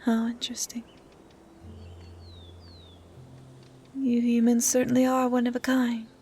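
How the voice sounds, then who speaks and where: Hushed, gentle young dryad woman voiced by an actress. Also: a little high, monotone, fairly quick, slight background noise, lightly acted. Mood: soft, wondering. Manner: hushed, breathy, caring delivery, often near whispering. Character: gentle, curious young female dryad, lonely forest healer. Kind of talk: soft, intimate ASMR audio roleplay.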